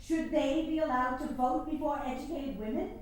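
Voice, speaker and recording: High-voiced imperious suffragist played by an actress, echoey. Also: female voice, moderate pace, close to an everyday voice. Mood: indignant, imperious. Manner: formal, emphatic, imperious. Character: haughty, combative 19th-century suffragist leader, Elizabeth. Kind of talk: staged play reading. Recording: very echoey room, faint noise.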